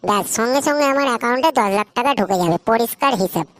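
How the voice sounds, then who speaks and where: A woman's voice: a little high, animated, rapid-fire, heavy background noise, lightly acted. A woman voicing a cocky, greedy young male schemer in a comic cartoon, brash, bossy and slangy. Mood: indignant, boastful.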